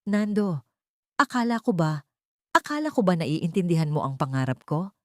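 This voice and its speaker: A woman's voice: fairly low pitch, very animated, moderate pace, no room sound, studio quality. Woman voicing a meek, pleading young fiancée. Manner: pleading, hesitant.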